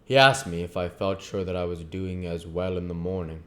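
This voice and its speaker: A man's voice: sits low, level tone, moderate pace, fairly bright tone, a little hiss or hum. A man reading a classic novel aloud as narrator and host of his own channel.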